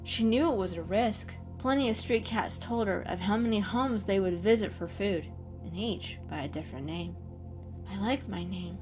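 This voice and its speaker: A woman's voice: medium pitch, moderate pace, over music. A woman giving a scripted, dramatic storybook narration as the storyteller.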